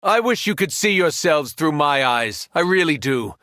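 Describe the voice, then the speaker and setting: An actor playing a powerful, cruel superhero turned villain in a video game, arrogant and taunting. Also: male voice, a little high, lively intonation, normal speed, sharp tone, dry recording, clean studio sound. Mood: arrogant contempt. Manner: taunting, menacing, condescending.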